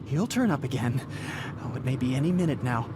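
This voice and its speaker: An actor playing a haunted, desperate young driver in a radio drama, earnest and halting, stammering when agitated. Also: male voice, mid-range voice, animated, noisy background, natural voice. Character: haunted, desperate young male cross-country driver, unravelling. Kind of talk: radio drama acting with first-person narration. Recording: music behind the voice. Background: dramatic music and car sounds.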